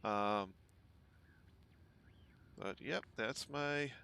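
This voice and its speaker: A man's voice: extremely slow, vocal fry, sharp tone, smooth. A man, chatting casually while streaming a game, full of ums. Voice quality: relatively low voice. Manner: easygoing, chatty, many ums. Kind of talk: casual gameplay livestream chat. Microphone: desk microphone at home.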